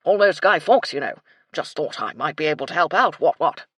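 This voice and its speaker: A woman's voice: low-pitched, animated, brisk, husky, no room sound, studio quality. A woman voicing a bluff, befuddled old English colonel in a story, with clipped, old-fashioned upper-class phrasing. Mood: jovial, blustery.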